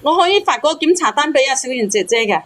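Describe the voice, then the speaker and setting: A woman's voice: sits high, lively intonation, fast, a bit bright. A woman calls in to a talk show. She pleads her case in a defensive, protesting manner. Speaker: caller. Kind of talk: pleading call-in confession.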